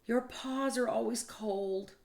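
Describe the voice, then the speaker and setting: Brief: tired gruff grown-up mouse, as a woman reads him, short clipped answers, slow. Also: fairly high pitch, darkish tone, voice pushed up. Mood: grumpy. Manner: curt, weary refusals. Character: grumpy, sleepy grown male mouse.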